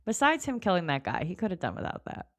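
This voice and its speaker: A woman's voice: lowish voice, wildly swooping pitch, fast talker, no room sound. A woman co-hosting a book club podcast, speaking in an informal, colloquial way as she chats and recaps. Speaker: podcast co-host. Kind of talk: casual book club chat with read-out chapter recap.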